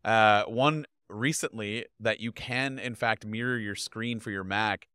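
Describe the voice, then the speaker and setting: Guy in his 30s chatting on a podcast, bright crisp voice, pretty dry sound, talks with his hands. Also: lowish voice, measured pace, no echo. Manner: animated, gestures a lot while talking. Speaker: podcast guest. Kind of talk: casual chat. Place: home studio, indoors. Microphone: podcast mic on boom arm.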